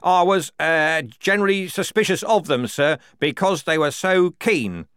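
A man's voice: mid-range voice, expressive, moderate pace, a bit bright, no room sound, clean studio sound, pitched above the performer's own voice, close to an everyday voice. Man voicing a shifty, defensive army captain. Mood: flustered, evasive. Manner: hesitant, evasive. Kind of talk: audiobook character dialogue.

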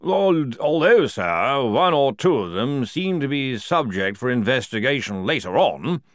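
A man voicing a fair-minded army colonel in an audiobook, speaking formally with hesitant pauses. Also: mid-range voice, very animated, dry recording, clean studio sound, pitched above the performer's own voice. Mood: measured, questioning. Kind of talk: audiobook character dialogue.

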